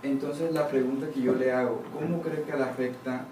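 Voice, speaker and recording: A man's voice: mid-range voice, fairly even intonation, normal speed. Colombian man, distant and echoey. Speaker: audience questioner. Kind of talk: polite question at a public forum. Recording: echoey room, distant, noisy.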